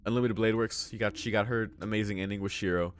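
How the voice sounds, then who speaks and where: A man with a fairly low voice hosts a let's play, reading game narration aloud. He breaks off into casual, exclamatory asides as he reacts. Some noise sits behind his voice. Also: fairly even intonation, fast. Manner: informal, exclamatory asides between read passages. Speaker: visual novel let's play host.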